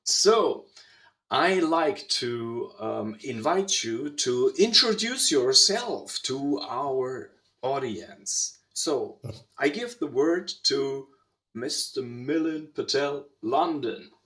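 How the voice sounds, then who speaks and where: A man with a German accent hosting a casual online panel in a deliberate, halting way. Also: medium pitch, lively intonation, a bit bright. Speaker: host. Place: online video call.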